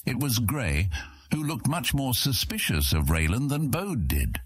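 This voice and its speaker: A man's voice: mid-range voice, big pitch swings, a little slow, slightly rough. A man narrating a scripted fantasy audiobook as its storyteller.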